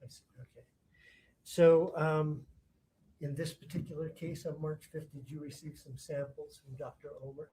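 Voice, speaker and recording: Man, courtroom prosecutor, warm dark tone, methodical but halting questioning. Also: on the high side, flat delivery, slight background noise, poor audio. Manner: halting, rephrases questions mid-sentence.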